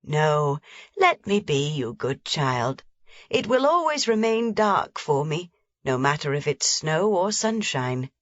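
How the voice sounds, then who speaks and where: A woman voicing a frail, blind old grandmother, soft, gentle and mournful, in a storybook reading. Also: low voice, sing-song, slow, dry recording, heavily acted. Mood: sorrowful. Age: over 60. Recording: slightly dull, dry lo-fi recording.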